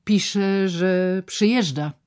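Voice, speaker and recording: A woman voicing a blustering, pompous male doctor who talks loudly and with exasperation. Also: mid-range voice, extremely slow, clear, resonant tone, voice pushed up. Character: blustering loud middle-aged male doctor.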